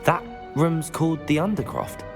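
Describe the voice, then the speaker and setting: An actor playing a calm, cautious young student, measured and polite, in a video game. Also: male voice, on the high side, music in the background, close to an everyday voice. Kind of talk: dramatic video game acting.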